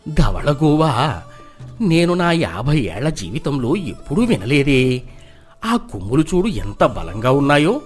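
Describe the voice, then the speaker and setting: A man performs a greedy, gullible villager of fifty in a storybook tale. His voice sits low and pleads eagerly. Music plays behind him. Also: sing-song, measured pace. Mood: amazed.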